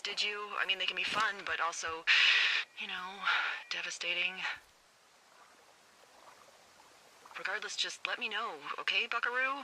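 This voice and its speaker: A woman's voice: mid-range voice, sharp tone, slight background noise, rough recording. A woman with an American accent playing a wry, flirtatious fire lookout in a video game, talking candidly over a two-way radio. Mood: concerned, wry. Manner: wry, teasing, conversational.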